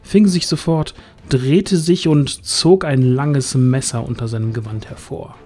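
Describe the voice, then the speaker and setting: A man's voice: medium pitch, fairly even intonation, measured pace, darkish tone. A man giving scripted narration for a fantasy audio drama.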